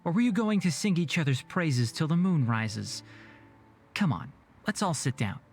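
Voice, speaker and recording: An actor playing a studious young man who reasons out loud in a measured, analytical way in a game. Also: on the high side, big pitch swings, faint music behind. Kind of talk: game voice acting.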